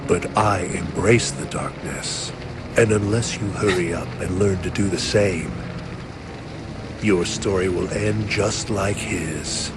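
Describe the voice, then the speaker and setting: Very deep, slow, ominous dark lord. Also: male voice, music in the background. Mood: cold condescension. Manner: ominous, measured, condescending. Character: dark, brooding, seductive villain lord. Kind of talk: dramatic video game acting.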